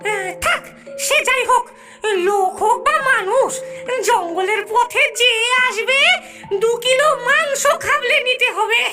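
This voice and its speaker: A little ghostly cartoon imp who helps a witch. The voice is piercingly high and excitable, always squabbling and repeating itself. Music plays loudly underneath. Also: unhurried. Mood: scheming. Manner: squabbling, repeats words, hesitant stammering starts. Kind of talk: cartoon voice acting.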